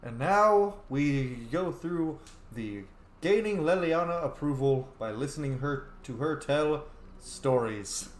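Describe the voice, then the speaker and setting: A man's voice: fairly high pitch, animated, takes it slowly, reverberant. A man casually commenting while playing a game, laid-back and blunt, swearing freely. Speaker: gamer playing a roleplay run.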